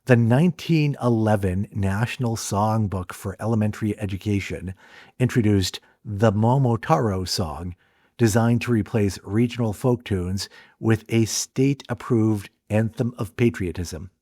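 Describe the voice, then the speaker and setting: A man giving scripted documentary narration about film history, measured and deliberate. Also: lowish voice, dark tone, no room sound, clean studio sound.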